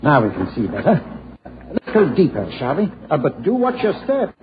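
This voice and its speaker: An actor playing an obliging local gentleman guide in an old radio drama, with a polite, hesitant, explanatory manner. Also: fairly high pitch, wildly swooping pitch, normal speed. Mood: eager, cautious. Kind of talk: 1940s radio drama acting. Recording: dull, noisy vintage radio recording.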